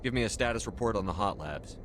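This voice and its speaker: A man's voice: fairly low pitch, lively intonation, quick pace, noisy background, lightly acted. A man playing a businesslike military commander in a video game, speaking in a direct, terse and authoritative way. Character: brisk, businesslike male military commander questioning for information. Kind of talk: scripted video game dialogue.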